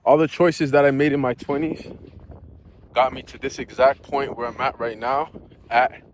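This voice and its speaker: A man's voice: medium pitch, steady tone, moderate pace, a little hiss or hum. A man in his thirties talking earnestly and casually about life goals, often asking "you know?" as he reflects. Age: 30s. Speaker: vlogger. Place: outdoors near geese.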